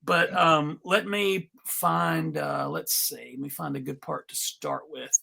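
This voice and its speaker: A man presenting an informal live script reading, halting and amused, with frequent asides. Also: a little high, steady tone, vocal fry, sharp tone, husky. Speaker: panel reader and presenter.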